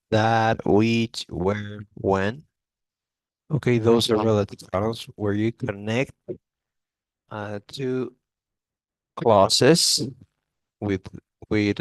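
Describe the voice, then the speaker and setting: A man's voice: fairly low pitch, no echo, rough recording. A male English teacher giving a classroom grammar lesson, often checking in with "okay?" and restarting phrases.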